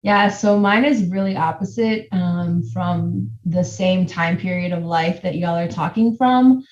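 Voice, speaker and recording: Woman panelist, kinda low voice, blunt and candid, echoey muffled audio. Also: measured pace, a little mellow. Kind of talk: panel discussion answer. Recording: echoey dull lo-fi sound.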